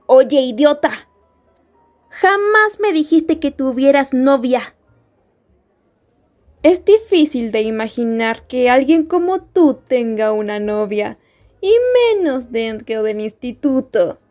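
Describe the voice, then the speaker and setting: A woman playing a haughty, mean high school bully girl in an ASMR roleplay, with a taunting, scornful delivery. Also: a little mellow. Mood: startled, incredulous. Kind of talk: Spanish ASMR roleplay acting.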